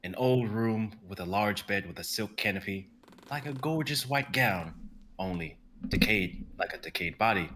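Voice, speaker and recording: Young guy reading stage directions, low and bright voice. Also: expressive, moderate pace, slight background noise. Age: young adult. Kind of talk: dramatic script reading.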